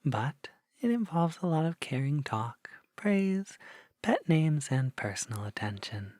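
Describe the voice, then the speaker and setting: A man speaks as an ASMR performer introducing a recording. His voice is soft, dark and breathy, mostly in a whisper. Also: a little high, big pitch swings, unhurried, no room sound, studio quality.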